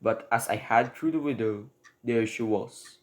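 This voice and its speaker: A man's voice: mid-range voice, unhurried, lightly acted. A man playing a desperate, penniless burglar in a radio drama, telling his story in formal, old-fashioned, pleading speech. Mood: confiding. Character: desperate, penniless burglar confessing his crime, polite but wary. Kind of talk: dramatic first-person storytelling in a radio play.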